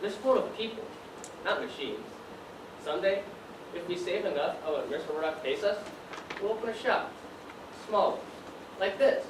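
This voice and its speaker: A man's voice: high voice, animated, normal speed, long pauses, far from the mic. A teenage male student acting as a dreamy, determined young factory worker in a stage play, with earnest, projected delivery. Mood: dreamy, hopeful. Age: teens. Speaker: student actor. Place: stage in a large room, distant mic. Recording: roomy, noisy stage recording from afar.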